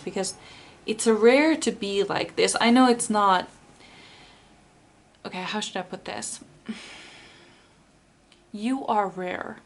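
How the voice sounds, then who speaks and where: Slow-talking Finnish woman reading tarot. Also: medium pitch. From Finland. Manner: slow, searching, rephrases often. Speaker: tarot card reader. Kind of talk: pick-a-card tarot reading.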